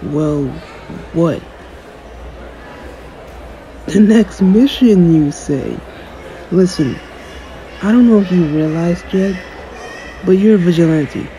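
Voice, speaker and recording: A man's voice: high-pitched, takes it slowly, pauses often, warm tone, a little hiss or hum, low-quality recording. A man playing a cocky, jaded young superhero in an audio roleplay, talking in a dry, sarcastic, aloof way. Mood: guarded, dismissive. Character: jaded, cocky young male superhero, dismissive of his fan. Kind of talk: audio roleplay acting, one-sided conversation.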